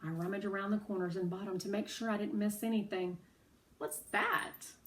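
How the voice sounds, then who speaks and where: A woman narrates a children's story, sitting a little way from the microphone. Her delivery is expressive and dramatic, in the style of a read-aloud. Her pitch sits in a middle range. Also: fast talker, distant mic. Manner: animated, dramatic storytelling with acted dialogue.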